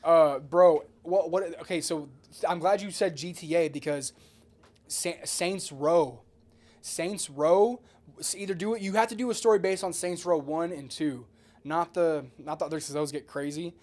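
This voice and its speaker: A man's voice: on the high side, moderate pace, darkish tone. A man co-hosting a casual podcast, talking enthusiastically with plenty of slang and swearing. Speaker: podcast co-host. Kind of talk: casual podcast chat about video games.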